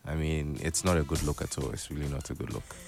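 Male co-host, very deep voice, easygoing banter. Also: flat delivery, fast, gravelly, darkish tone, soft background music. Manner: easygoing, laughs while talking. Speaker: radio co-host. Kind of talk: casual radio chat.

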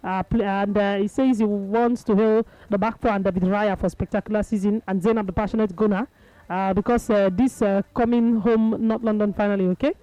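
A woman with a Nigerian accent hosts a radio call-in show in a medium-pitched voice. She chats casually, slipping between English and Pidgin, with a dry studio sound. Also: steady tone, brisk, mellow, no room sound, rough recording. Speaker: radio sports show host.

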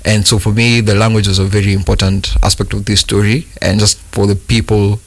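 Flat, low-voiced man from Kenya. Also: no room sound. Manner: measured, unhurried. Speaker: guest filmmaker. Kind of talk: casual interview answers.

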